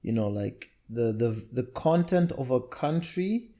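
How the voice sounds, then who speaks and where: A man's voice: medium pitch, animated, measured pace, dark tone. A man from Zambia, a guest on a casual podcast, telling his story in an easygoing way with many fillers. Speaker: podcast guest, amateur comedian.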